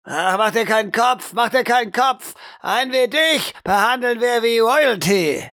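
Brash soldier comrade, acted by a man, loud and crude, high-pitched, bright forceful voice for audio drama. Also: expressive, unhurried, no echo, studio quality, full character voice. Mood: gleeful, swaggering. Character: brash foul-mouthed male soldier, boisterous comrade. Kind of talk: improvised role-play audio drama.

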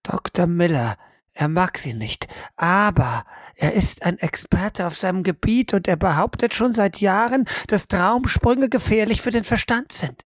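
A woman's voice: mid-range voice, lively intonation, a little slow, no echo, studio quality. A woman acting in an audio drama as a stern, insistent interrogator who speaks formally and presses hard. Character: stern, insistent female interrogator probing a prisoner's mind.

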